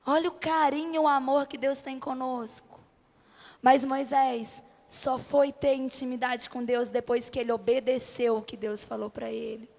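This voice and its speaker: A woman's voice: high voice, flat delivery, moderate pace, vocal fry, a little mellow. A Brazilian woman preacher delivering an impassioned, emphatic sermon at a church event, driving points home with rhetorical questions and repeated short phrases. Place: church event before a congregation. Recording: reverberant hall amplification.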